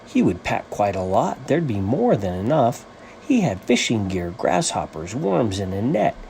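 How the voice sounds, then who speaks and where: A man reading a rhyming children's story as its author, with an animated, sing-song rhythmic delivery. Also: lowish voice, a little slow, gravelly, a little hiss or hum, low-quality recording.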